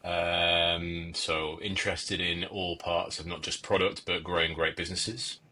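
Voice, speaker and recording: Deep, bright-edged Englishman. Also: little pitch movement, a little slow, a little hiss or hum. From England. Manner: dry, wry humor. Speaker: podcast co-host. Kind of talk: casual chat.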